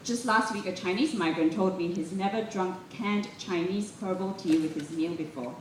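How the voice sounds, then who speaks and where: A woman's voice: fairly low pitch, normal speed. Echoey, distant woman reading aloud. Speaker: reader at a literary festival. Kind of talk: live literary reading of prose and poetry. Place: live event room, recorded from a distance. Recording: roomy echo, distant mic, clearly noisy.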